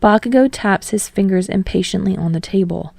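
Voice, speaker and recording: A woman's voice: mid-range voice, brisk, creaky, warm tone, no room sound. A woman reading scripted fiction aloud as an audiobook narrator.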